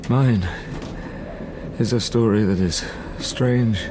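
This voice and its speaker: An actor playing a dying, tormented man who gravely narrates his past in a formal audio drama. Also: lowish voice, slow pace, background noise. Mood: anguished, grave.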